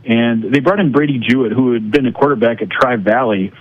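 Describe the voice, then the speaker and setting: A man's voice: medium pitch, brisk, narrow, tinny audio, slight background noise. An American man giving casual sports analysis as a guest broadcaster on a radio show.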